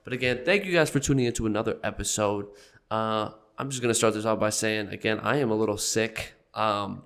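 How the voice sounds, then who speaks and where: A man's voice: fairly low pitch, brisk, fairly bright tone. Sniffly young man with a cold. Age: young adult. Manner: loose and joking, coughing now and then. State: congested from a cold. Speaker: podcast host. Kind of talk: casual podcast chat.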